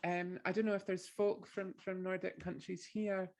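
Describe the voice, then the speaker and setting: Gravelly-voiced woman poet with Orkney accent. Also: lowish voice, little pitch movement, a little mellow. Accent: Orkney Scots. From Orkney, Scotland. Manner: thoughtful, halting, many fillers and restarts. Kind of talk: poetry reading with introduction.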